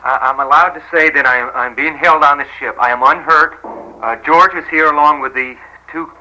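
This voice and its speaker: An actor playing a tense male reporter held hostage, haltingly relaying demands over a radio telephone. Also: fairly high pitch, pushed and bright. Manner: halting, stammering, careful. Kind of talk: radio-telephone call acting in news drama. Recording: radio-telephone call with faint noise.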